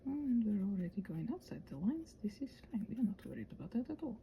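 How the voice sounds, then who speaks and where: A woman chatting casually in a rambling ASMR style, with a soft breathy voice that often drops into a whisper, questioning herself and thinking out loud. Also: medium pitch, big pitch swings, quick pace, dull audio, slight background noise. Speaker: ASMR video creator and host.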